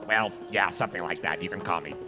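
Man playing a low, reluctant night-shift zookeeper. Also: quick pace, music in the background, lightly acted. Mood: nervous, reluctant. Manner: hesitant, mumbling, reluctant. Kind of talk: radio theater comedy.

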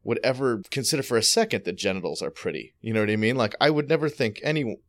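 A man's voice: lowish voice, animated, quick pace. A man co-hosting a podcast in casual chat, frank and crude, rambling with frequent restarts. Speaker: podcast co-host.

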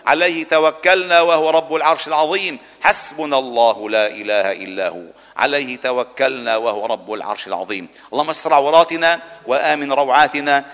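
A man's voice: on the high side, fairly bright tone. A man delivering a solemn sermon and supplication as a mosque preacher, with a formal, rhetorical cadence. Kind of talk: Arabic Friday sermon and supplication. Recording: long echoing reverb of a large hall.

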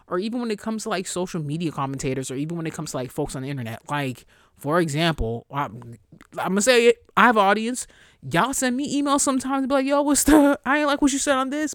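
A young woman hosting a solo podcast in a casual, chatty way, blunt and profane, her voice jumping high when she gets excited. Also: dry recording, studio quality. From New York area. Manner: profane, blunt asides to listeners. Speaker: podcast host.

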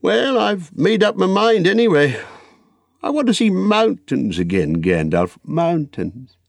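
A man's voice: high voice, sing-song, measured pace, vocal fry, no room sound, clean studio sound, voice pushed up, full character voice. A man voicing an old hobbit in a storybook reading, fretful, wistful and stubbornly possessive, with an emphatic, rambling, hesitant manner.

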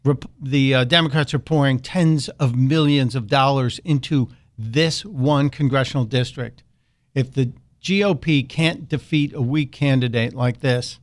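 A man's voice: medium pitch, measured pace. A man hosting a talk radio show, delivering an opinionated monologue with sarcastic, mocking asides.

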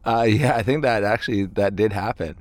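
A man's voice: on the low side, a little fast, no echo. A man, an indie band frontman, chatting casually as an interview guest in a hesitant, meandering way. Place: inside a parked tour van.

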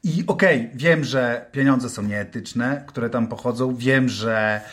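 A man's voice: medium pitch, takes it slowly, a bit bright. A man in a casual football discussion as a pundit, reasoning aloud hesitantly and hedging as he goes.

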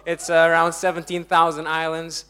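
A young man from Indonesia, preaching a lively, jokey sermon to a congregation and laughing often. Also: on the high side, normal speed, clear, resonant tone.

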